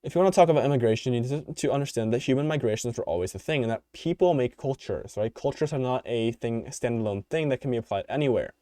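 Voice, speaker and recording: A man's voice: medium pitch, fast, hardly any pauses, dark tone, no room sound, clean studio sound. A young man hosting a solo podcast, giving his opinions in a rambling way full of fillers and restarts, his voice growing tired. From United States. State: voice tiring. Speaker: podcast host. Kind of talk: unscripted solo commentary.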